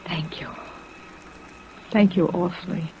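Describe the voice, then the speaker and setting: An actress playing a lonely widow in a 1950s radio drama, confiding in a hesitant, melancholy, wistful manner. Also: female voice, fairly low pitch, slow. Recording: muffled vintage radio recording, steady rain noise.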